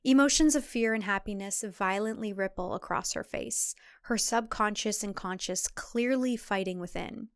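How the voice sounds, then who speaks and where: A woman's voice: mid-range voice, moderate pace, hardly any pauses, clean tone, no room sound, studio quality. A woman narrator reading a scripted thriller in a dramatic storytelling style.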